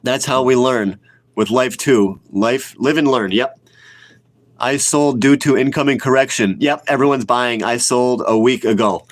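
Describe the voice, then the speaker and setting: A man of about forty with a cold, chatting casually on a livestream in a blunt, opinionated way and repeating himself for emphasis. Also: mid-range voice. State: sick with a cold, sniffling. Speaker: livestream host, retail investor. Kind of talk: casual livestream chat, answering viewer comments.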